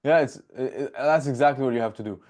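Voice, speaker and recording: A young man hosting a casual podcast over a video call from his bedroom, talking in a loose, rambling way with quick jokes. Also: mid-range voice, darkish tone. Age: young adult. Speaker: podcast host. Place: bedroom at home. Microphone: video call webcam.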